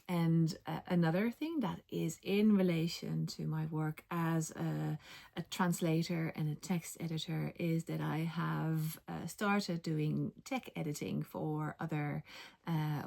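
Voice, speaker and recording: Dutch-accented woman, lowish gravelly voice, chatty and full of ums. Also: a little mellow. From Netherlands. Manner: chatty, with many ums and self-corrections. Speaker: knitting vlogger and linguist. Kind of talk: casual vlog chat.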